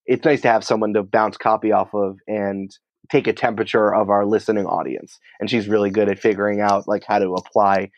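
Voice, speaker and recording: A man with a fairly low voice talks as an interview guest in an informal, explanatory manner. His phrasing is colloquial and conversational. The recording is dry and somewhat dull, with a narrowed band. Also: flat delivery, brisk, no room sound. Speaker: podcast company creative head, interview guest.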